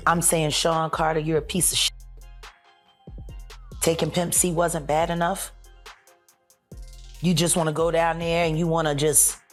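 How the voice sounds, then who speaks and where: A woman giving an impassioned, accusatory rant with emphatic, repeated phrasing. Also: medium pitch.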